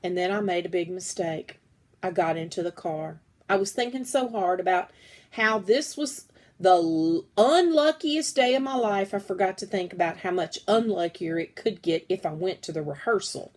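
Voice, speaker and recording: A woman reading a children's story aloud in an expressive storytelling style. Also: fairly low pitch, moderate pace. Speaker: read-aloud reader.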